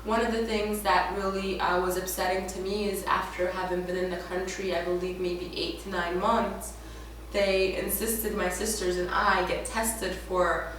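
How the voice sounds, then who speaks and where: A woman's voice: mid-range voice, little pitch movement, moderate pace, fairly bright tone. A young woman from Ethiopia, a native Amharic speaker, telling her life story in an interview. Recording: echoey room, distant mic, faint noise.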